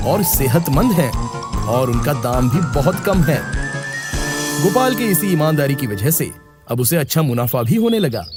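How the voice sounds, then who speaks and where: A man narrating a moral story for children in a steady, instructive way. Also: a little high. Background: background music.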